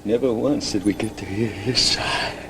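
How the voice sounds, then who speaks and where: An actor playing a weary townsman troubled by a lynching, halting and quietly reproachful, on an old drama soundtrack. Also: fairly low pitch, a little hiss or hum.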